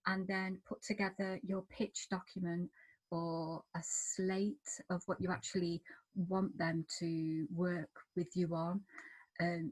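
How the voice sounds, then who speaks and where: A woman giving encouraging, chatty panel advice as a television writer, often softening points with filler phrases. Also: on the low side, husky, low-quality recording. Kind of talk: informal panel advice at an online event.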